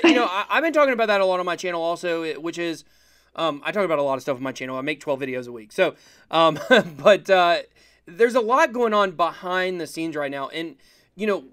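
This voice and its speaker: A man's voice: fairly high pitch, fairly bright tone, dry recording, clean studio sound. A man in his thirties hosting a casual livestream interview, talking in a conversational, explanatory way. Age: 30s. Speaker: livestream host.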